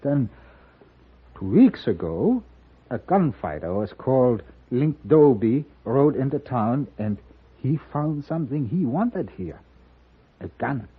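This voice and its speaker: An actor playing a frail, fearful old German gunsmith turned storekeeper in a radio western, speaking with a German accent in halting, old-world phrasing. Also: male voice, medium pitch, big pitch swings, slightly rough, full character voice. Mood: sorrowful. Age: older. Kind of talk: 1950s radio western acting. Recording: thin narrow-band old radio recording.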